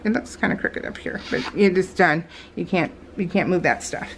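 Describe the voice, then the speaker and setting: An American woman chatting casually as a craft vlogger, telling stories in a rambling, animated way and acting out what people said. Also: fairly low pitch, moderate pace, a little hiss or hum. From California, United States. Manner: rambling, animated retelling with acted-out quotes. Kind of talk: casual chat while crafting, voiced in real time.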